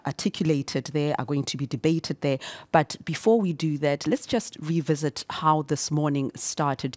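A woman hosting a TV talk show, briskly wrapping up a panel and leading into the next segment. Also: low-pitched, a little mellow, no echo. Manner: brisk wrap-up, guiding the program. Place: TV studio.

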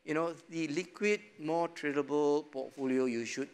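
A middle-aged man speaks as a panelist on a studio stage, recorded on a lapel mic. His talk is relaxed and loose, dotted with small chuckles and frequent filler phrases. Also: on the high side, normal speed, clear, resonant tone. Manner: relaxed, chuckling, many "you know" fillers. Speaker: investment panelist. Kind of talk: panel discussion answer.

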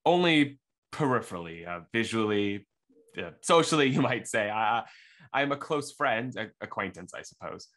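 A man playing a nervous young suitor in a radio mystery, stammering and halting as he tries to please. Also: lowish voice, animated, fairly bright tone. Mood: evasive, flustered. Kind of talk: radio mystery acting, live online table read.

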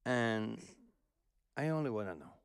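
Gravelly, lively-voiced man in his fifties. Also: medium pitch, a little slow, no room sound, clean studio sound. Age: 50s. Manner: teasing, playful questioner. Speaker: podcast host. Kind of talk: casual family conversation. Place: cozy living-room studio on a sofa. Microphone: boom-arm studio mic.

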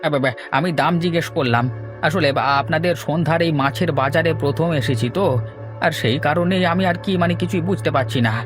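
A man's voice: on the high side, fast, close to an everyday voice. A man voicing a timid, bewildered customer in a horror cartoon, stammering and exclaiming plaintively. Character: bewildered, timid man lost at a haunted market. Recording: music behind the voice.

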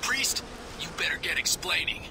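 An actor playing a hot-headed, foul-mouthed soldier in a video game with an aggressive delivery. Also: male voice, on the high side, lively intonation, normal speed, creaky, background noise. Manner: aggressive, swearing. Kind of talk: video game cutscene acting.

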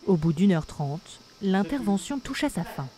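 A woman reading a scripted documentary voice-over in a measured, even way. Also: mid-range voice. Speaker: documentary narrator. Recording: voice-over with music behind. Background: music.

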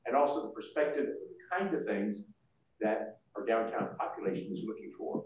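An older man presenting informally at a board meeting, explaining things in a halting way. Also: lowish voice, darkish tone. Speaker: association board member presenting. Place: large indoor meeting room. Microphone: far room microphone. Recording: muffled, very echoey room, distant mic.

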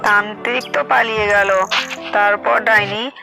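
A woman's voice: mid-range voice, steady tone, measured pace, creaky, over loud music. A woman narrator reading a scripted cartoon story.

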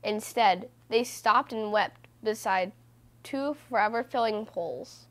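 A woman reading a children's book aloud as host, in a measured, deliberate way with stressed phrases. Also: mid-range voice. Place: small room indoors.

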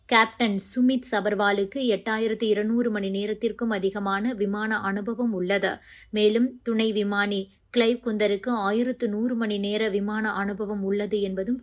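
A woman reading scripted news narration as a news narrator. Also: medium pitch.

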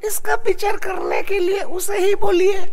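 A woman playing a formal, fault-finding royal courtier in a cartoon, with a deliberate, pointed delivery. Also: extremely high pitch, little pitch movement, vocal fry, over music, heavily acted. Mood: goading. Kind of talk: Hindi cartoon dubbing.

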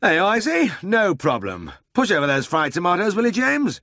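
A man voicing a brisk, impulsive middle-aged vet who runs the practice and talks in breezy, bossy exclamations. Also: sits high, wildly swooping pitch, pushed and bright, no room sound, clean studio sound, voice pushed up, full character voice.

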